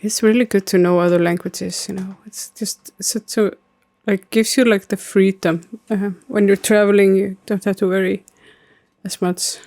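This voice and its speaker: Icelandic woman in her thirties, native Icelandic speaker, streamer; low-key flat delivery with a creaky edge, loose and filler-heavy. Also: normal speed. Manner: rambling, many fillers, answering chat. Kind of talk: casual gaming stream chat.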